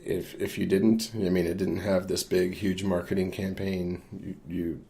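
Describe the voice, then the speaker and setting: Low-voiced male podcast co-host. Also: level tone, unhurried, mellow. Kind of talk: casual film discussion.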